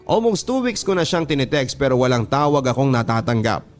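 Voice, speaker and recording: A man's voice: mid-range voice, big pitch swings, a little fast. A man with a Filipino accent hosting a radio story program, reading listener letters as dramatic first-person narration. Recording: music under the voice. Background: background music.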